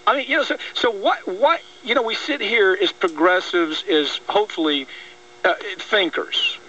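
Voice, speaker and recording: Forceful, high-pitched American man on radio. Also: normal speed. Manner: blunt, exasperated, emphatic, interrupting. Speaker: talk radio host and trial lawyer. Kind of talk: opinionated political radio talk. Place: radio broadcast. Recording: dull lo-fi radio, distant.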